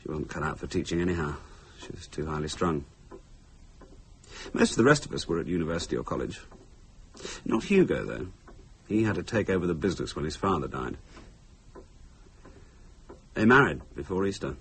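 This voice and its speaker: Deep british husband in an old radio thriller, wry n morbid, very animated delivery, bit of hiss. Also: male voice, fairly quick, pauses often, exaggerated voice. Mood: sombre recollection. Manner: articulate, wry, theatrical. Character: sardonic, teasing young British husband, educated and morbidly playful. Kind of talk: radio thriller drama dialogue.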